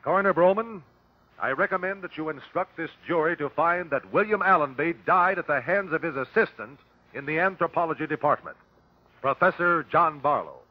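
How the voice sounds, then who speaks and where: An actor playing a shrewd, relentless detective at an inquest, measured and authoritative, in vintage radio drama style. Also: male voice, on the high side, natural voice. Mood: pressing, accusing. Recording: dull vintage radio broadcast recording.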